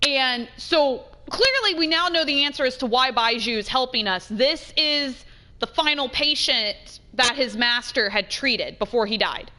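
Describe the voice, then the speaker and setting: A woman hosting a let's play, giving casual, excitable reactions and repeating phrases for emphasis. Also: sits high, unhurried. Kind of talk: casual gameplay reaction commentary. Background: game music.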